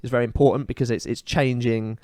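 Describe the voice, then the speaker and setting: A man with a mid-pitched voice co-hosts a casual podcast. He gives his opinions with emphasis and conviction. The recording is close and dry, with no room echo. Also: fairly even intonation, quick pace, mellow. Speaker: podcast co-host. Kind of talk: casual opinionated podcast chat about pop culture.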